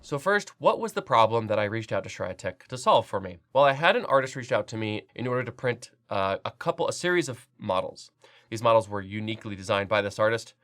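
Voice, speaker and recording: A man's voice: fairly low pitch, lively intonation, brisk, a bit bright. Congested, chatty man with a cold. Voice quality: nasal. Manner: chatty, loose, with frequent fillers and restarts. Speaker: 3D printing channel host. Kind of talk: casual product review.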